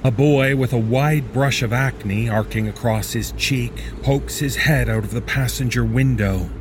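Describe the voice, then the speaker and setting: A man reading a scripted second-person horror story in a measured, deliberate manner. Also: mid-range voice, noisy background.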